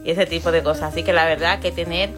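A woman's voice: lowish voice, steady tone, quick pace, music in the background. A woman, a panel guest talking reflectively and unhurriedly. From Dominican Republic.

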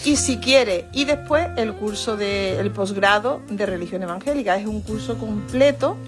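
A woman's voice: mid-range voice, normal speed. A woman explaining things as a teacher in an informal interview. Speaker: religion teacher. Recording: music playing behind the voice. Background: background music.

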